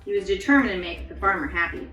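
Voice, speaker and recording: A woman hosting storytime, reading picture books aloud to children in an expressive, encouraging way. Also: on the low side, quick pace, echoey room, far from the mic, soft background music. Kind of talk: animated read-aloud to children.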